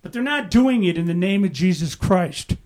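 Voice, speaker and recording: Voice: mid-range voice, lively intonation, normal speed, darkish tone. A pastor teaching a Bible study in a conversational way, often asking the room "right?" and "amen?", with bursts of emphasis.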